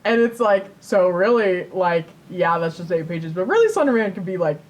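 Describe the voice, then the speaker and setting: A woman's voice: lowish voice, sing-song, moderate pace, highs cut off. A woman co-hosting a podcast in casual chat, rambling and repeating herself as she tells things. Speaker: podcast co-host.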